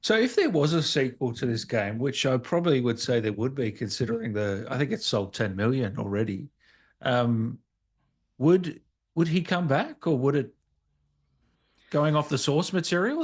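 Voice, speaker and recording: A man with a medium-pitched voice hosts an interview. He speaks in an informal, conversational style as he chats with his guest. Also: big pitch swings, normal speed. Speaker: interview host. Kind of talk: casual interview.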